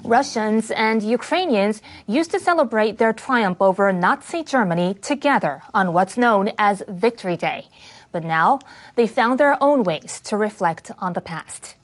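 A woman's voice: medium pitch, expressive, a little slow. A woman narrates a scripted news report as a broadcast reporter.

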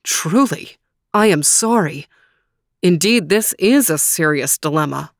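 Calm, measured wise man, as a woman narrator puts him on. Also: lowish voice, no room sound, clean studio sound. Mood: concerned. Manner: measured, counseling.

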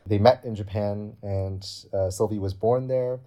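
A man's voice: fairly low pitch, fairly even intonation, unhurried, muffled recording. A young man, a cellist, explaining his project in an interview, earnest and thinking aloud as he talks. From Canada. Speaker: guest cellist. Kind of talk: interview answers.

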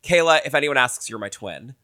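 A man's voice: mid-range voice, lively intonation, a little fast, sharp tone, no echo, clean studio sound. A man co-hosting a podcast while congested, telling anecdotes in casual chat. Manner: anecdotal storyteller. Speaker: podcast co-host.

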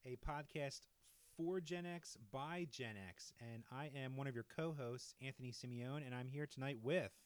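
An easygoing man in his early forties hosts a podcast chat. His pitch is middling and he guides the talk along casually. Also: normal speed, smooth, no echo. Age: 40s. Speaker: podcast host.

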